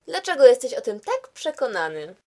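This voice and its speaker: A woman's voice: fairly high pitch, sing-song, normal speed, a little mellow. A woman playing a wry, skeptical narrator in a scripted comedy, questioning the hero in a dry, teasing way.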